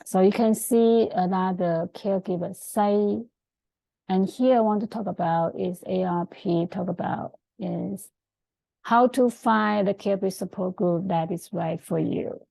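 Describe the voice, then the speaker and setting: A middle-aged woman whose first language is Chinese, giving an informal presentation in a halting, hesitant way with many pauses. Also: medium pitch, gravelly, warm tone, no room sound, low-quality recording. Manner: halting, hesitant, frequent pauses mid-sentence. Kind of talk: informal presentation with screen share.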